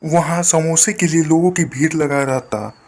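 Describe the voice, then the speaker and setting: A man's voice: fairly high pitch, moderate pace, darkish tone. A man narrating a simple moral story as a scripted storyteller.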